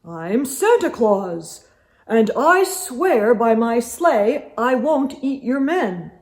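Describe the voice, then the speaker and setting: A woman voicing a gigantic, kindly old Santa Claus, measured and reassuring, in a children's storybook. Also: on the high side, big pitch swings, darkish tone, roomy sound. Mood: jolly, reassuring.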